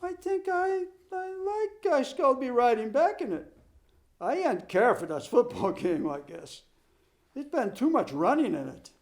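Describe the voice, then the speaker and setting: A simple-hearted young runner, voiced by a man, gloomy and plainly spoken in slow, broken English. The voice sits noticeably higher than the narration. A storybook reading. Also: sing-song, heavily acted. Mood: resigned.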